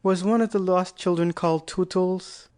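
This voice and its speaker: Brief: woman voicing a gushing young boy, lower and mellow in tone. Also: steady tone, normal speed, close to an everyday voice. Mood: eager. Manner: earnest, gushing. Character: soft-hearted, eager young boy.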